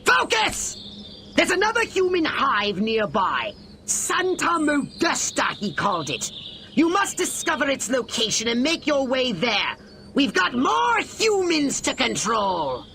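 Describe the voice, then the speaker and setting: An actor playing a bossy, scheming alien commander in a video game cutscene, speaking in a clipped, commanding way. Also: male voice, extremely high pitch, huge pitch swings, unhurried, gravelly, sharp tone, a little hiss or hum, exaggerated voice.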